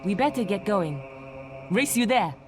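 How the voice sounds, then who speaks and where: A woman's voice: mid-range voice, animated, a little slow, faint music behind, natural voice. An actress playing a cocky, competitive young female apprentice warrior with a brash, teasing manner in a fantasy video game.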